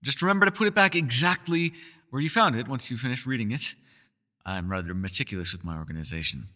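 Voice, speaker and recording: A man's voice: medium pitch, lively intonation, quick pace, pushed and bright, in a large hall. A man voicing a centuries-old elf scholar in an ASMR roleplay, courteous and meticulous, with formal wording and small hesitant stammers. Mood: courteous, measured. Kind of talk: ASMR roleplay voice acting, one-sided dialogue.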